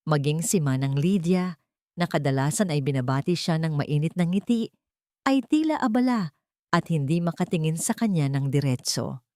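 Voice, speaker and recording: A woman's voice: sits low, lively intonation, moderate pace, dark tone, no room sound, clean studio sound. A woman narrating a scripted dramatic story as its narrator.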